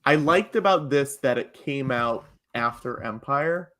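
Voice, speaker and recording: A man in casual podcast conversation, speaking at a middling pitch. He comes across as a joking guest with an animated manner, often letting a chuckle into his words. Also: a little slow. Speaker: podcast guest and live improv comedy performer.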